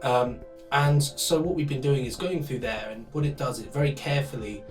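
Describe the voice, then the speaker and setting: A man with a medium-pitched voice explains his technical work as a sound designer in an informal way. Faint guitar music plays underneath. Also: normal speed, low-quality recording. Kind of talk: explanatory talk to camera.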